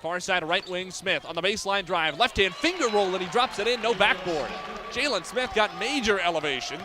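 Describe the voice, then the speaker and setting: Casting: young American man, higher-pitched play-by-play announcer, detailed delivery, audible venue noise behind him. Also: expressive, a little fast. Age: young adult. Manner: crisp detailed descriptions, voice raised on big plays. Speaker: play-by-play sportscaster. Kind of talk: live sports play-by-play commentary. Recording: live game ambience, clear background noise. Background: sports venue sounds.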